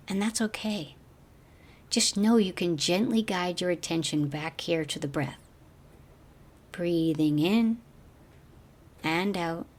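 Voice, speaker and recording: A woman guiding a meditation as a teacher, speaking gently in slow, measured phrases that trail off softly. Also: on the low side. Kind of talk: guided meditation narration.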